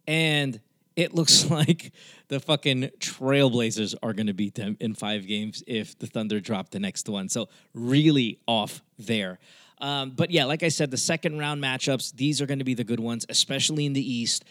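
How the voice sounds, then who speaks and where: A man's voice: medium pitch, normal speed, a bit bright, no room sound, studio quality. A man hosting a podcast call-in show, chatting casually and bluntly with plenty of swearing. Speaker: podcast host taking calls. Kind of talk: casual, profane talk-show chat.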